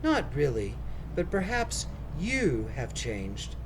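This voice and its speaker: A man voicing a gracious, modest and wise young woman in a children's storybook, with gentle exclamations. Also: a little high, wildly swooping pitch, takes it slowly, background noise, heavily acted. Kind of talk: children's storybook read-aloud.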